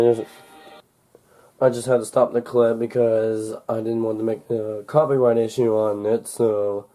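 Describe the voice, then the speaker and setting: Very dark-voiced man, halting and congested. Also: medium pitch. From United States. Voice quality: slightly congested. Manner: halting, rambling, muttering to himself. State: recovering from a cold. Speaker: gadget unboxing video maker. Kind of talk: casual demonstration while handling a device. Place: indoors at a wooden desk in a room. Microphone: camcorder held or set near the desk.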